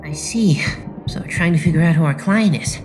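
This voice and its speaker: A confident teenage boy idol acted by a woman speaks in a lowish, boyish register. He sounds self-assured and breezy in anime dub style, with clear music behind him. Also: brisk, natural voice. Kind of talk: anime fan dub acting. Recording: music under the voice.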